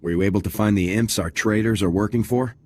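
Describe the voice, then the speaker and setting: An actor playing a resolute, blunt soldier with a clipped military manner in a video game. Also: male voice, fairly low pitch, fast talker, darkish tone, no room sound, natural voice. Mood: businesslike. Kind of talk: video game cutscene dialogue.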